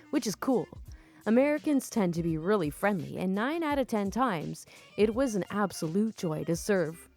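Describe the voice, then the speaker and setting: A young woman reading online stories aloud and commenting between them, chatty and quick to laugh, with casual swearing. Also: lowish voice, darkish tone. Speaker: storytime YouTuber.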